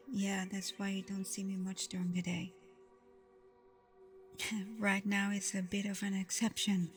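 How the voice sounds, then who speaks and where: Slow, hushed vampire girlfriend played by a woman. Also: medium pitch, completely flat delivery, soft background music, close to an everyday voice. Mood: reluctant, softening. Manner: hushed, often slipping into whispers, breathy sighs. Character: protective, scolding vampire girlfriend, guarded but tender. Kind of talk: ASMR roleplay, intimate acted monologue.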